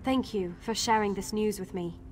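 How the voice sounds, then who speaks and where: A woman's voice: mid-range voice, moderate pace, a little mellow, slight background noise, lightly acted. A woman playing a genteel, pitying female elf elder in a fantasy video game, with a dramatic manner. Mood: distressed.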